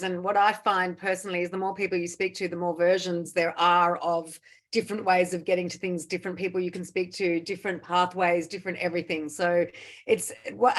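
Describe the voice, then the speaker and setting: Female event host; warm-up and introductions, encouraging manner, slightly low pitch. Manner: upbeat and encouraging. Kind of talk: hosting a panel event.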